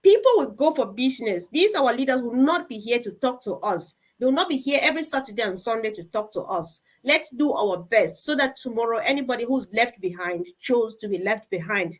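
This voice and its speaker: A woman with a fairly high voice hosts a live online group meeting. She talks to the participants in an encouraging way and is generous with her thanks. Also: lively intonation, fairly quick, hardly any pauses. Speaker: host of an online team meeting.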